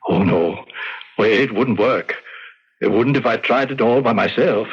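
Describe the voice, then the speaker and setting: An actor playing a fearful, resigned rustic villager who talks in a hesitant, folksy way in a 1970s radio drama. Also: male voice, mid-range voice, a little slow, husky. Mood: anxious pleading. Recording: muffled old radio broadcast.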